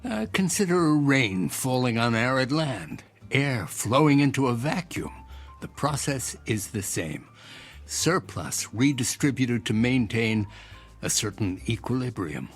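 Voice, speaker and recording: A courteous, cryptic old inventor, swooping delivery. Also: male voice, mid-range voice, very animated, husky, faint music behind, heavily acted. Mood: persuasive. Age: older. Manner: measured, formal, musing, persuasive. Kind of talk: audio drama acting.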